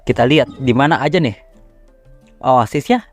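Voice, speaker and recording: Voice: medium pitch, fairly quick, no room sound. An Indonesian streamer plays a game and comments off the cuff. The delivery is slangy and chuckling, as if thinking aloud. The sound is dry, with music from the game behind it. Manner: slangy, chuckling, talking to self. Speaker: gaming streamer. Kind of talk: casual gameplay commentary, reacting as they play.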